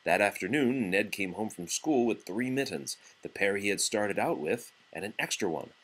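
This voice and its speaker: A man reads a children's book aloud in a low, husky voice. His delivery is very animated and expressive, yet patient and encouraging, as if for a small child beside him. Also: normal speed, low-quality recording. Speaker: parent reading a picture book aloud to a young child. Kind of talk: storybook read-aloud.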